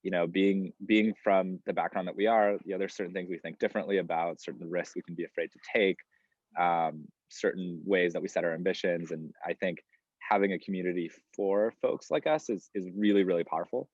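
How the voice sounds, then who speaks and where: A young man from Chicago, co-president of a student club, speaking in a measured, conversational way on an online panel. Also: sits low, no echo, muffled recording.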